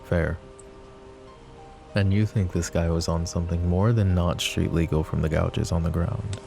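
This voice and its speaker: A possessive mafia boss with a very deep, gravelly voice, as a man acts him out, talking low, measured and intimate to an unheard listener. His tone is dark and mellow, with faint music underneath. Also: fairly even intonation. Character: possessive young adult male mafia boss, guarded. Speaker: voice actor. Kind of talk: boyfriend ASMR roleplay.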